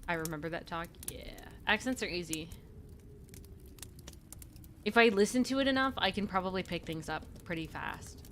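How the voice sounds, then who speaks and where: A woman's voice: lowish voice, animated, normal speed, pauses often, a bit bright, slight background noise. A woman streamer in casual chat, rambling and laughing often with faint music behind her.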